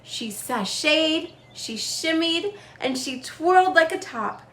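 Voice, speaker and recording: A woman storyteller reading a children's book aloud in an expressive style, leaning on key words. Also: high-pitched, slow pace, echoey room. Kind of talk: animated children's story narration.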